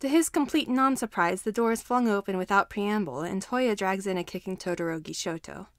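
Female story narrator, mid-pitched, dry sound. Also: fairly quick, no echo. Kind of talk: scripted story narration of fan fiction.

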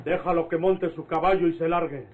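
A man acts out a vengeful northern Mexican bandit in a vintage radio play. His voice sits a little high for a man, and he speaks with menace and command, every line a taunt or an order. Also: steady tone, brisk, a little mellow, slight background noise, natural voice. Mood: scornful, commanding. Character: proud, vengeful male bandit of rural Mexico. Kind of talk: old Mexican radio drama acting.

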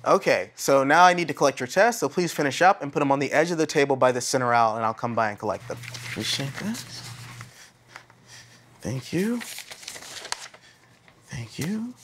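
A man's voice: medium pitch, expressive, moderate pace, pauses often, fairly bright tone, a little hiss or hum, lightly acted. An American man in his thirties playing a blunt, earnest graduate teaching assistant instructing a class in a casual, plain-spoken way. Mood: instructive. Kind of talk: classroom instruction, acted dramatization. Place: classroom lab, indoors.

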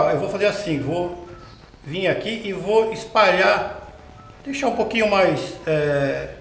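A middle-aged man demonstrating a recipe step by step in his home kitchen, explaining patiently and checking in with the viewer as he works. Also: sits high. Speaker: home cooking show host. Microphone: camera mounted overhead. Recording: echoey kitchen with light background noise.

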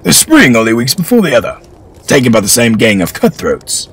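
A man reading aloud, voicing a scheming older English lord who speaks slowly and coldly. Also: huge pitch swings, a bit bright, slight background noise. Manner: slow, low and cold.

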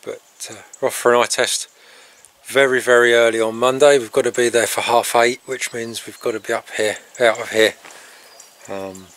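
A middle-aged man in a casual, rambling vlog, talking haltingly outdoors on a farm into a handheld camera. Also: mid-range voice, steady tone, fairly bright tone. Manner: halting, breaking off to talk to animals. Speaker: farm vlogger. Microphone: handheld camera at arm's length.

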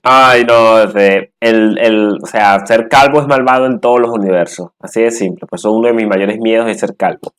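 A man's voice: on the low side, moderate pace, clear, resonant tone. A man casually reacting to a video with short, amused exclamations.

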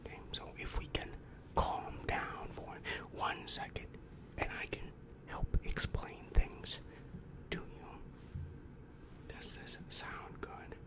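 A breathy whisper, unhurried and right up on the mic, belongs to a weary demon counselor in hell, played by a young man. He reassures in a patronizing, exasperated way, repeating himself as he goes. The style is intimate ASMR roleplay. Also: close to an everyday voice. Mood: weary, placating. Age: young adult. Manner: slow, repetitive, patronizing reassurance. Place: small dim room indoors. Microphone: large microphone very close to mouth.

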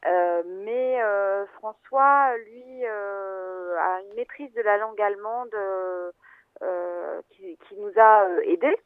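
A woman's voice: medium pitch, takes it slowly, dry recording. Woman on a phone line, tinny and dry, lots of ums and restarts, thoughtful. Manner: hesitant, many fillers and restarts, thoughtful. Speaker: film editor, interview guest. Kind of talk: interview, explaining her work.

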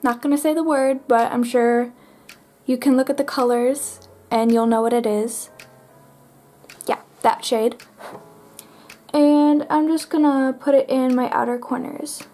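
A woman's voice: high voice, fairly even intonation, a little slow, long pauses, dark tone, a little hiss or hum. A teenage girl chatting through her makeup routine at her bedroom vanity, bubbly and full of "like", getting over a cold. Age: teens. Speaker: beauty vlogger. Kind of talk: makeup tutorial chat.

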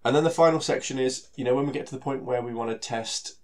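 A man with a British accent and a head cold that makes him sound nasal and stuffy, presenting a technical talk in a relaxed way, full of fillers and repeated words. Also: medium pitch, fast talker. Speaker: solution architect giving a technical talk.

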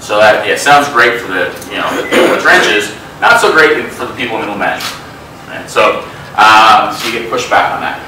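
Animated middle-aged man, echoey meeting room. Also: on the low side, fairly quick. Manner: animated, gestures a lot, many fillers and asides. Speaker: speaker. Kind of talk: interactive talk at a meetup. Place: hotel meeting room with a small group. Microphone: lapel mic, filmed from across the room. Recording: echoey room, from a distance, faint hiss.

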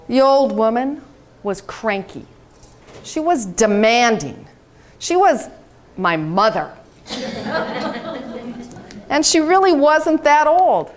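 A woman in her fifties from the United States giving a storytelling keynote speech in a conference room, animated and dramatic. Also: fairly high pitch. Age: 50s. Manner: animated, dramatic, gesturing on stage. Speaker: keynote speaker. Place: hotel conference room, indoors.

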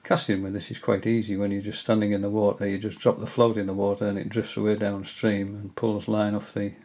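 An older man at home giving a hesitant, casual tutorial, often pausing with fillers as he shows his reels. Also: lowish voice, level tone, darkish tone. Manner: hesitant, many fillers and restarts. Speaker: angling hobbyist giving a tutorial. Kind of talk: casual explanatory demonstration. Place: indoors, small room at home.

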